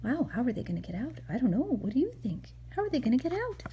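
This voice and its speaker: A woman's voice: fairly high pitch, very animated, quick pace, mellow, lo-fi sound, slight background noise. A woman reading a picture book aloud to a child as a mother, expressively, with chatty asides and questions.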